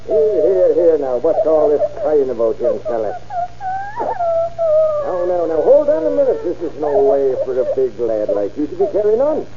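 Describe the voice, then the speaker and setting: A man's voice: on the high side, wildly swooping pitch, moderate pace, heavy background noise. An actor playing a kindly middle-aged patrolman who stammers and coaxes a lost boy, in 1940s radio drama style. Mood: coaxing. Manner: stammering, coaxing and fatherly. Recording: dull old radio recording.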